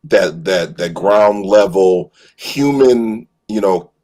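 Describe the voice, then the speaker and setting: A man in an animated, casual fan discussion, repeating phrases for emphasis and stumbling over his words as he goes. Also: deep voice, slow pace.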